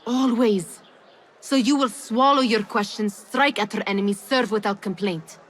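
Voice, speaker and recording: A woman's voice: a little high, moderate pace, close to an everyday voice. Woman playing a sardonic, challenging confidante. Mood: sardonic challenge. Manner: pointed, challenging. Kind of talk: dramatic video game acting.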